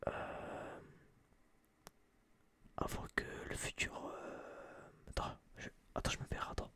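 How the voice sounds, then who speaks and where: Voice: slow, sharp tone. A person whispering a casual, rambling ASMR film review in slangy, hesitant phrases, often repeating words, close to a binaural microphone. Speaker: ASMR video creator.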